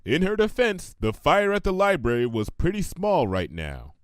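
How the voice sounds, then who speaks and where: A man reading a comic story aloud in an expressive, scripted narration style. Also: fairly high pitch, normal speed, dry recording, clean studio sound. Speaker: narrator, fan fiction reader.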